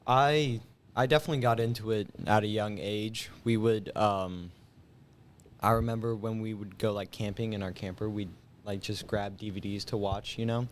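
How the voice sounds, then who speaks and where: An eighteen-year-old man chatting casually as a guest, halting, with youthful slang and lots of "like". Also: fairly low pitch, steady tone. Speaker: guest, high school senior. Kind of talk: casual chat. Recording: noticeable background noise.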